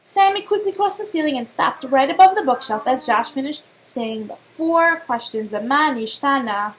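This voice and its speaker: A woman reading a children's storybook aloud like a teacher, expressive and pausing to put questions to young listeners. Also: high-pitched, a little hiss or hum.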